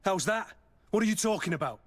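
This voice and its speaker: Blunt, hardened soldier. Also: male voice, high-pitched, expressive, a little fast. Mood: urgent, demanding. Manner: terse, blunt. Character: blunt, hardened male soldier captain, protective of recruits. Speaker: soldier, captain. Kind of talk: dramatic video game acting.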